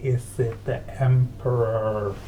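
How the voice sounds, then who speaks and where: A man's voice: medium pitch, flat delivery, slow pace, mellow, distant mic, a little hiss or hum, poor audio. A man chatting casually and playfully as a player in a tabletop game.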